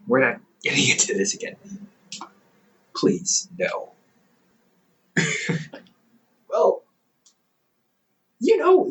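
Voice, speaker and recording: Audio roleplay: male actor as a young adult older brother and company therapist, teasing, quick to laugh, very lively pitch. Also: a little hiss or hum, low-quality recording.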